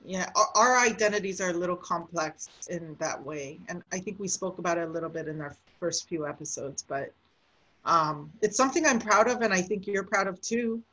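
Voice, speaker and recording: A woman's voice: fairly low pitch, fairly quick, a bit bright, poor audio. A woman from the United States co-hosting a podcast, explaining her views earnestly in long, casual stretches. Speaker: podcast co-host.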